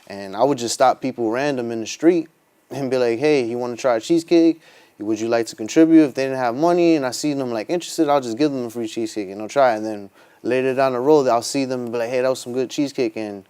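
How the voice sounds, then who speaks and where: A man's voice: mid-range voice, fast talker, dark tone, smooth. A man in his twenties chatting casually and informally as an interview guest, peppering his talk with conversational filler words. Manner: informal, street-casual, frequent "like" and "you know". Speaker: interview guest, small business owner. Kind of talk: casual chat.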